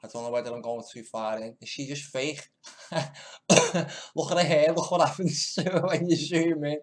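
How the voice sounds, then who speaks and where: A man's voice: on the high side, normal speed. A male stream host giving live, mocking commentary, laughing, swearing and muttering asides as he goes. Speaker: channel host.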